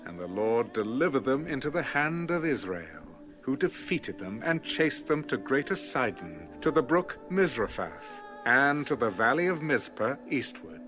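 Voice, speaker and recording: A man narrating scripture in a solemn, deliberate, scripted dramatic storytelling style. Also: mid-range voice, very animated. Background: music.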